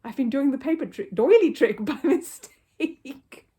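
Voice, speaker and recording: A woman's voice: sits high, expressive, measured pace, dark tone, pitched above the performer's own voice. An earnest young boy bear from a children's storybook, voiced by a woman. He speaks politely and hesitantly, well-meaning but hapless. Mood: unhappy, apologetic.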